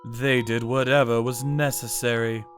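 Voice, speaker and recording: A man voicing a hardboiled male vigilante who narrates the story in a grim, steady manner in a manga dub. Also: medium pitch, moderate pace, over music, natural voice.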